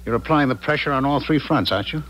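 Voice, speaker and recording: A man's voice: mid-range voice, fast, muffled recording, lightly acted. An American actor in a 1970s radio drama playing a paranoid, coldly menacing businessman with pointed, insistent questioning. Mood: accusing. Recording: noisy 1970s radio broadcast recording.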